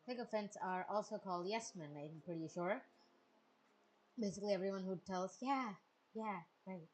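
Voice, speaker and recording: A woman with a mid-pitched voice streams a casual read-along. She switches between reading lines aloud and giving informal, slangy reactions. Music plays behind her. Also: moderate pace, rough recording. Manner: informal, slangy, giggly asides. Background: background music.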